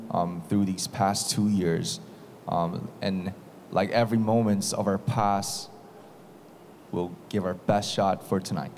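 A young man with a Korean accent speaks very slowly and earnestly into a handheld mic at a press conference, halting with ums. His voice sits a little low. A faint hiss runs underneath. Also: darkish tone. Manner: slow, earnest, halting with fillers.

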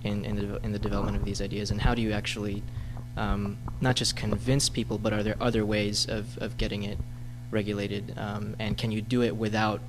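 Flat-voiced man asking a question. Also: fairly low pitch, a little fast, hardly any pauses, noisy background. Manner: hesitant, flat, many ums. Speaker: audience member asking a question. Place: lecture hall audience.

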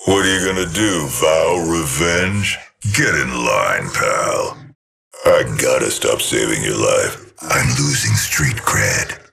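An actor playing a sinister, mocking killer robot in a video game, with a gravelly, rasping growl and a sardonic, menacing drawl. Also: male voice, very deep voice, slow, bright, forceful tone, full character voice. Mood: scornful. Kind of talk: video game character lines.

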